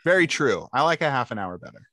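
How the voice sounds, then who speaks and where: A man's voice: medium pitch, sing-song, fast talker, sharp tone, dry recording. A man running a tabletop game as game master over a video call, laid-back and teasing, laughing easily.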